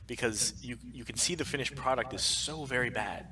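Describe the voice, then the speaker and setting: A man's voice: medium pitch, fairly quick, a crowd in the background. A man co-hosting a casual chat stream, giving opinions in an emphatic, rambling way. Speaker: co-host of a weekly pop culture stream.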